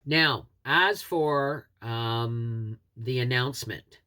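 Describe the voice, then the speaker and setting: A woman's voice: extremely low pitch, very slow, pushed and bright. A middle-aged woman with a head cold and a scratchy throat, a homesteading host chatting earnestly to camera. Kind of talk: casual talk to camera.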